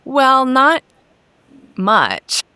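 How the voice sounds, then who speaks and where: A woman's voice: sits high, wildly swooping pitch, drawn-out words, sharp tone, smooth, no echo. A woman who grew up in Hawaii, a yoga teacher guest telling her story in an articulate, chatty way. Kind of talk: interview conversation.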